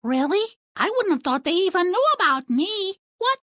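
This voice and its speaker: A woman's voice: very high voice, sing-song, moderate pace, fairly bright tone, no echo, studio quality, exaggerated voice. A woman voicing a spoiled, whiny, rather dim young princess in a fairy-tale video game, petulant and melodramatic. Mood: put out.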